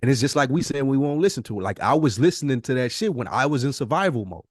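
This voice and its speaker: A man's voice: medium pitch, animated, fast, darkish tone, no room sound, clean studio sound. An American man co-hosting a podcast, talking casually with heavy slang, swearing and emphatic phrasing. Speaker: podcast co-host. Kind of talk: casual conversation.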